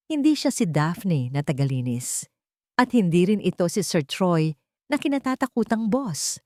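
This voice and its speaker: A woman's voice: lowish voice, darkish tone, no room sound, clean studio sound. A woman storyteller narrating a scripted romantic story.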